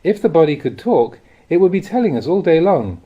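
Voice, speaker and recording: A man reads a spiritual teaching aloud in a measured, expressive, explanatory way, like an audiobook. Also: a little high, breathy edge, lo-fi sound. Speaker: reader of Buddhist teachings. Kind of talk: audiobook reading of a spiritual talk.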